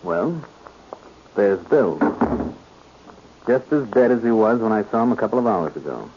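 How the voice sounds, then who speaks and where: An actor playing a cool, sly private detective in 1940s radio style, measured and insinuating. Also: male voice, fairly low pitch, big pitch swings. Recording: muffled, noisy old radio recording.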